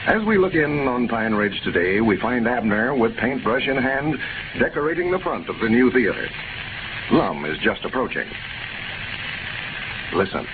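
A man's voice: medium pitch, big pitch swings, normal speed, long pauses. A man with an American accent announcing in 1930s radio style, reading scripted copy in a polished, emphatic way. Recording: scratchy, tinny 1930s radio broadcast recording.

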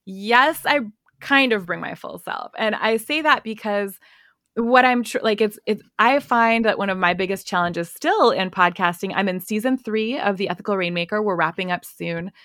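Bubbly, energetic woman podcast guest. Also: medium pitch, moderate pace, no echo, studio quality. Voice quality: relaxing voice. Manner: bubbly, energetic, laughs often. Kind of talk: casual interview chat.

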